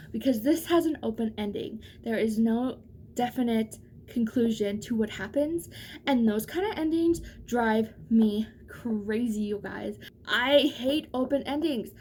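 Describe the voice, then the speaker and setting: High-voiced woman chatting about books, noisy recording. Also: darkish tone. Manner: gushing, emphatic, informal, addresses viewers directly. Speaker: book review vlogger. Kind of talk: casual chatty book review to camera.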